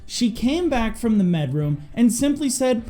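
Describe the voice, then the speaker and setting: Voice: medium pitch, big pitch swings, a little slow, mellow, soft background music. An EMS instructor hosting a scripted lesson, speaking in an emphatic, direct way to fellow providers. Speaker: EMS instructor and video host.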